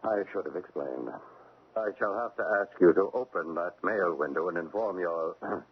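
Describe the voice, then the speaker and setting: A man's voice: deep voice, normal speed, husky. An actor playing a formal, politely insistent male government agent in a radio drama. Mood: officious. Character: officious, formally polite federal postal agent. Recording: narrow-band, faintly noisy vintage radio.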